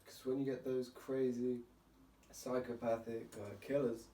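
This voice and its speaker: A chatty man hosting a casual podcast, full of questions and quick jokes. He sits back from the mic in a roomy space, with a faint hiss underneath. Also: mid-range voice, level tone, warm tone, distant mic. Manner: chatty, asks lots of questions, jokey. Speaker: podcast host. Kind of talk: casual chat.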